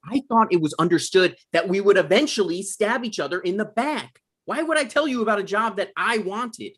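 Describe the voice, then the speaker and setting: An actor at a comedy table read playing a petty, self-important production coordinator, dry and argumentative. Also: male voice, sits high, lively intonation, fairly quick, a bit bright, husky, exaggerated voice. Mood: scheming, defensive.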